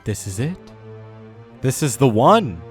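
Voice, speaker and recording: A man's voice: medium pitch, very animated, takes it slowly. A man hosting a scripted countdown video, commenting in a mock-earnest way with exaggerated praise. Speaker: video host. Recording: music under the voice. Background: music.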